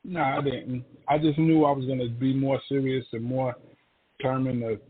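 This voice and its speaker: A man's voice: mid-range voice, little pitch movement, moderate pace, husky. A man of about fifty co-hosting a podcast with folksy, teasing, colloquial banter. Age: around 50. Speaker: podcast co-host. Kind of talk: casual banter. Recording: narrow phone-line sound.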